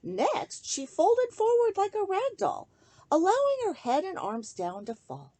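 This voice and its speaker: A woman reading a rhyming children's story aloud in an expressive, sing-song way, speaking directly to young listeners. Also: extremely high pitch, measured pace.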